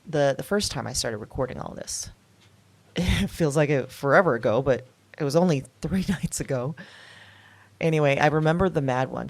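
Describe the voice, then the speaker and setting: A woman playing a shaken, thoughtful diarist in an intimate audio drama monologue, speaking hesitantly in broken, reflective phrases. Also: sits low. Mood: resolved, wondering.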